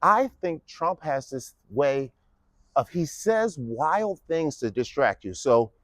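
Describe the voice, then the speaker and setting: A man's voice: a little high, sing-song, slow, no room sound, clean studio sound. A man in his fifties delivering a casual, mocking political monologue with lots of rhetorical questions. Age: 50s. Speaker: vlogger giving a political speech.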